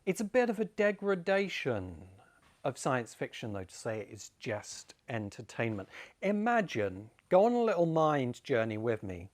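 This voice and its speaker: A man's voice: mid-range voice, very animated, moderate pace. A man giving an informal, opinionated explanatory talk, wandering through ideas and addressing the listener directly. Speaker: video essay host. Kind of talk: informal explanatory monologue to camera. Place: home among rice fields in Bali.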